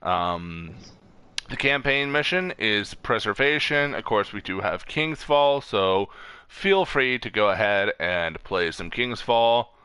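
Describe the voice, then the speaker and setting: A man's voice: on the low side, expressive, slow, sharp tone, clear, resonant tone, no room sound. A man hosting a casual gaming stream, reading off lists informally, stumbling over words and correcting himself. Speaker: streamer host.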